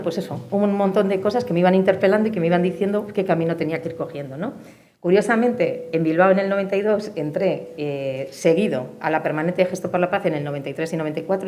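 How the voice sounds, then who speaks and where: A woman's voice: lowish voice, steady tone, fast talker, warm tone. A middle-aged woman with an accent from Spain, giving personal testimony as an activist on a forum panel. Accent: Spanish from Spain. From Spain, Madrid and the Basque Country. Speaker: panelist, peace movement activist. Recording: clear background noise and room ambience.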